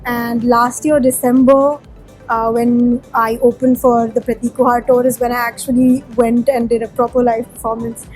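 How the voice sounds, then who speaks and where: A woman's voice: on the high side, level tone, moderate pace, a little mellow, faint music behind, poor audio. A woman from Sikkim whose first language is Nepali, a musician answering interview questions in a chatty, candid way with plenty of fillers. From Sikkim, India.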